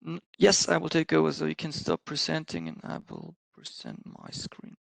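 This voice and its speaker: A man's voice: a little high, sing-song, normal speed, vocal fry, no room sound. A man speaking as a product presenter in a company webinar.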